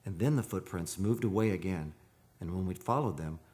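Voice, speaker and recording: A man playing a wistful, dreamy photographer narrating an eerie seaside tale in a measured, poetic radio drama style. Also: deep voice, darkish tone, lightly acted. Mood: hushed, eerie. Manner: measured, poetic, solemn delivery. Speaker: actor, narrator.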